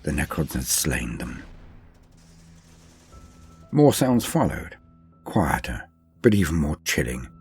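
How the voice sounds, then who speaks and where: Very deep-voiced man, grave dramatic narrator. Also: big pitch swings, darkish tone, breathy edge, faint music behind. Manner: grave, theatrical, deliberate phrasing. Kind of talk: dramatic audiobook-style story reading.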